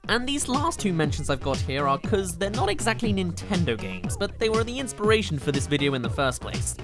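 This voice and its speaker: A man narrating an enthusiastic scripted video game countdown in a gushing, informal way, as a reviewer. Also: a little high. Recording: loud music behind the voice. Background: game soundtrack music.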